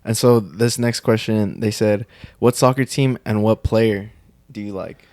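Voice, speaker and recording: A man's voice: on the low side, fairly even intonation, a little slow, a little mellow, no echo. A man co-hosting a podcast, giving short easy replies and reading out fan questions. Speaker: podcast co-host.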